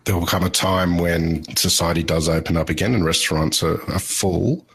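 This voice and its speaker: A man hosting a podcast interview, asking questions in a measured way. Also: low-pitched, steady tone, a bit bright, husky. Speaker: podcast host.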